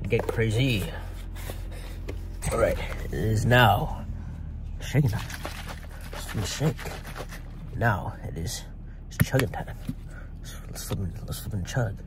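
Young male fitness vlogger, low-ish voice, loose and jokey, talks in stops and starts. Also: noisy background. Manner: rambling, filler-heavy, self-correcting; laid-back, jokey. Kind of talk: casual vlog talk to camera.

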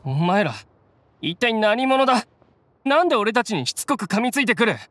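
Brash bandit grunt, swooping pitch. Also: male voice, sing-song, moderate pace, fairly bright tone, no room sound, heavily acted. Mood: irritated. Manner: rough, coarse, blustering speech. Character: defiant low-ranking bandit gang grunt, adult man. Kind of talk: Japanese game voice acting.

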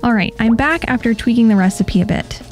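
Female vlog narrator, higher register, scripted instructional voice-over with light music underneath. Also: brisk, a little mellow. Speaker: pet channel host. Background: background music.

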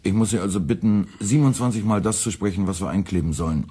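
A politely pedantic young editor in a radio drama. His voice is fairly low and slightly rough, and he spells out every detail with formal, needling courtesy. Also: dark tone. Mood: pedantic, formally polite. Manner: formal, meticulous, deliberately enunciated. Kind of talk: radio play dialogue.

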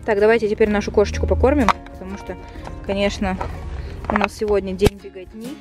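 A young woman in her twenties, chatty and lively, talking to viewers and cooing at her cat in a car. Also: mid-range voice, a little fast, over loud music. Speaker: pet vlogger. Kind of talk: casual vlog talk to viewers. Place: inside a car at night.

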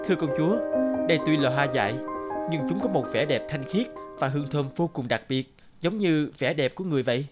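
A man voicing an earnest, soft-spoken young character in a cartoon dub. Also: fairly high pitch, expressive, brisk, close to an everyday voice. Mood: polite. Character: gloomy personified Night, and polite young prince. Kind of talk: Vietnamese cartoon dubbing. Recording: loud music behind the voice.

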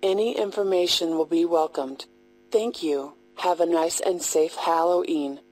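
Low-pitched woman reading a formal emergency alert. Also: fairly even intonation, a little mellow, poor audio. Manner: measured, impersonal reading. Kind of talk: formal scripted emergency announcement.

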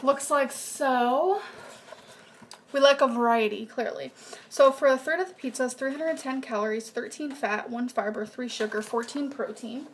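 A young woman with a high, light voice chats through a grocery haul in her home kitchen. She talks casually and lists nutrition numbers in a flat run. The sound comes from a webcam mic at arm's length, with a faint hiss underneath. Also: normal speed. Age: young adult. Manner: chatty, reads out nutrition numbers in a flat list. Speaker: vlogger. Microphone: built-in webcam mic at desk distance.

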